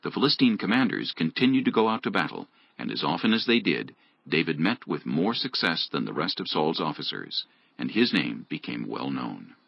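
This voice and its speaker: Very deep gravelly male scripture narrator. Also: big pitch swings, normal speed, no echo, lo-fi sound. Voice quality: gravelly, husky.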